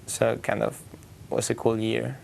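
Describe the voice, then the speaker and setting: Teen guy, low gravelly voice, hesitant english, faint rumble behind. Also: completely flat delivery, moderate pace, a little mellow. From Argentina. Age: teens. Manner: hesitant, searching for words. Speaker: exchange student. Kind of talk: studio interview. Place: TV studio.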